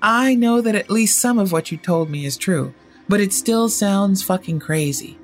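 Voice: mid-range voice, lively intonation, normal speed, faint music behind, pitched above the performer's own voice, close to an everyday voice. A storyteller voicing a blunt, sarcastic teenage girl who questions things with open disbelief in an audio horror story. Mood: skeptical.

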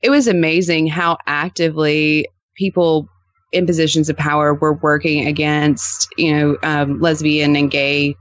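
A woman's voice: low-pitched, unhurried, no room sound, lo-fi sound. A woman with an American accent that slips into East Texas Southern, chatting casually and acting out her anecdotes as a podcast guest. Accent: American, East Texas-style Southern that slips in. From Louisiana, US. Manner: animated storyteller who acts out quoted dialogue. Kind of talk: casual chat, telling anecdotes.

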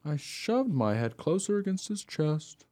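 Man as an earnest storytelling dog, soft-toned and lively. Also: fairly high pitch, unhurried. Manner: plain-spoken, artless storytelling. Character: honest, earnest pet dog telling his own tale. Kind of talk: first-person radio play narration.

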